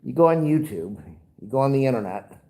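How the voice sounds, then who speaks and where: A man's voice: mid-range voice, expressive, brisk, warm tone. A man with a nasal, stuffed-up voice, rambling and grumbling through a casual livestream. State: stuffed-up nose. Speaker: livestream host.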